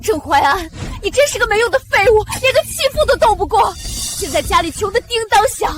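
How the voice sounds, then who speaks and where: A spiteful young noblewoman in a costume drama. Her high voice swings dramatically with haughty venom, music running clearly behind it. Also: animated, fairly quick. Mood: furious, bitter. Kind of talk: period short-drama villain acting.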